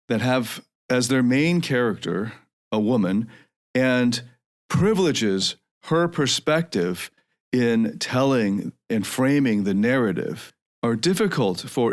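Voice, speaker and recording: A man in his mid-forties, raised in North America, talks through a solo podcast episode. His delivery is reflective and earnest. He pauses and restarts as he works his ideas out aloud, with an ordinary, mid-range voice. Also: mid-range voice. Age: 40s. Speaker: podcast host.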